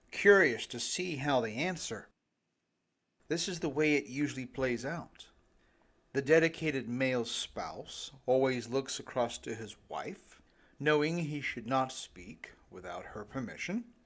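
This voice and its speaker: A middle-aged man reads his own audiobook at a leisurely pace. His pitch is average for a male voice. The scripted narration is lightly humorous and tongue-in-cheek, aimed directly at the listener. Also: a bit bright. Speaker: author reading own audiobook.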